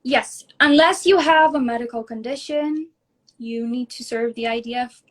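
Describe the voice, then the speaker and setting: A young woman from Israel in her early twenties speaks in a clearly high voice. She answers casually, as a guest in an online interview. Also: normal speed. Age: early 20s. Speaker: guest, actress and singer.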